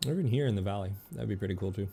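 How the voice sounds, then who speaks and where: A man with a low, mellow voice talks through a video game as he plays. He rambles and thinks aloud in a casual way, with some gravel in the tone and faint game music behind him. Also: expressive, racing. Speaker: game streamer. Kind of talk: casual gameplay commentary.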